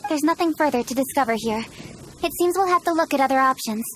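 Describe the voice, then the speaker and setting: High-voiced, poised young noblewoman official. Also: animated, noisy background. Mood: composed, formal. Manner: formal, articulate, brisk authority. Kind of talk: video game voice acting.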